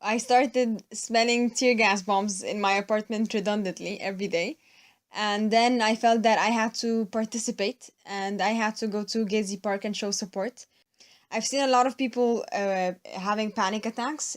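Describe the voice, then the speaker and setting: A woman's voice: mid-range voice, fairly even intonation, moderate pace. A young woman student from Egypt answering interview questions and recounting events earnestly. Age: young adult.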